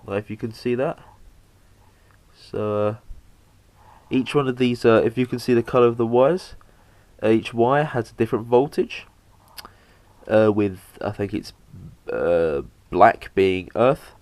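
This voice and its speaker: A young man with a slight cold, narrating a PC hardware install in a halting, casual way with lots of fillers. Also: mid-range voice, darkish tone, no room sound. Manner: halting, many fillers, talks while working. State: has a cold, slightly bunged up. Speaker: PC hobbyist showing a hardware install.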